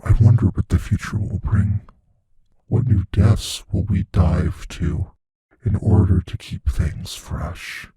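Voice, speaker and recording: Man acting a deep husky predatory monster, slow and dark. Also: no room sound, heavily acted. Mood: musing, intrigued. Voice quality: husky, breathy, near-whispered rasp. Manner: slow, musing, savoring pauses. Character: predatory shape-shifting male monster, playful, possessive, menacing. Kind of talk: intimate audio roleplay addressed to listener.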